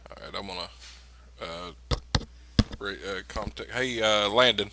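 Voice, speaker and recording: A man playing a tabletop role-playing game, talking casually in a halting, hesitant way. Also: fairly low pitch, background noise. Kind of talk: casual in-character tabletop play.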